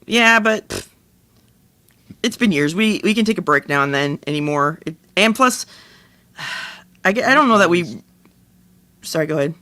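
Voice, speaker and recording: A man with a somewhat high, bright voice in a very dry, close recording. He chats casually as a podcast co-host, halting and hesitant as he goes. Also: expressive, no echo. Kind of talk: casual chat.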